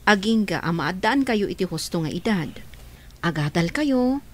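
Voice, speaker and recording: An actress voicing a calm, firm older caretaker in a radio drama, speaking reassuringly. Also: female voice, mid-range voice, normal speed, lightly acted.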